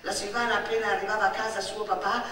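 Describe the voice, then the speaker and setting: Bright-voiced woman storyteller in echoing space. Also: mid-range voice, fast. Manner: expressive, emphatic, repeats words and lists. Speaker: actress, solo storyteller. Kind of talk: theatrical radio monologue, narrative storytelling. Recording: echoey stage sound, distant, music behind.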